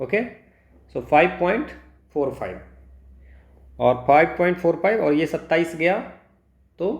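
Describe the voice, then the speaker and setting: An Indian man tutoring physics, working through exam problems step by step, mixing Hindi and English and asking himself questions he then answers. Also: a little high. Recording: echoey room.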